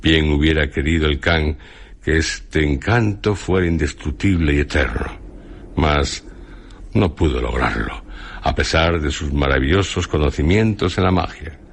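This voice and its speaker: An actor playing an ancient, venerable hermit sage near death, speaking solemn, grandiloquent Castilian Spanish in a radio drama. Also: male voice, heavily acted. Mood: solemn, weary. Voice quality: deep, husky. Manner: slow, grandiloquent, declamatory. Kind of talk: Spanish radio theatre acting.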